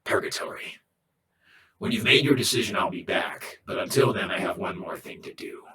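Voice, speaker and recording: A man acting out a calm, persuasive game character with a low, bright-edged voice. He speaks in measured, hushed phrases and lays out grave choices with composure. The sound is roomy, as if set back from the mic. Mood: calm, resolute. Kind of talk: slow, dramatic game cutscene dialogue. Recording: distant, roomy, faint background noise.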